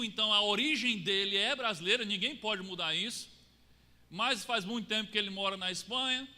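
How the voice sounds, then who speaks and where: A Brazilian man preaching a sermon, swinging between raised lines and low, quiet drops for emphasis. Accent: Brazilian Portuguese. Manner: rises and falls, drops low to stress points. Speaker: pastor. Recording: long reverb of a church hall.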